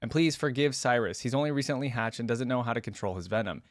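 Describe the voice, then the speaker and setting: A man voicing a gentle, whimsical tree creature in a read-aloud story, with kindly, quirky politeness. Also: mid-range voice, fast, dry recording, clean studio sound, close to an everyday voice. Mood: kindly apologetic.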